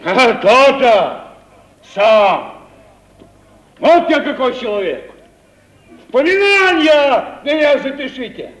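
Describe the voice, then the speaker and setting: An actor playing a stubborn, blustering provincial merchant proud of his honour, with folksy speech and drawn-out indignant retorts, in 1950s Russian radio comedy. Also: very high-pitched, very animated, a bit bright, heavily acted. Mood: boastful, worked up. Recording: roomy vintage 1950 radio play recording.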